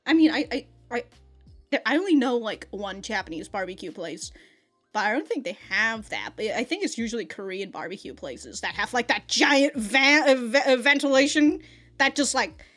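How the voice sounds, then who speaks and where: A woman's voice: fairly high pitch, animated, normal speed, a bit bright, faint music behind. A woman giving casual, off-the-cuff reaction commentary in a slangy, profane, giggly manner. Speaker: anime reaction reviewer.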